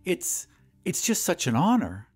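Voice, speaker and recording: A man's voice: high voice, huge pitch swings, measured pace, a little mellow, husky, faint music behind, voice pushed up, exaggerated voice. A man voicing a coarse, humble woman who works as a gas chamber hostess, speaking plainly and getting flustered easily.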